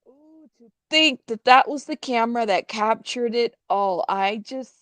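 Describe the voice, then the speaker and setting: A woman hosting a casual live news commentary, chatting to viewers in an informal, halting way with emphatic repetition. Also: a little high, fairly bright tone, no room sound.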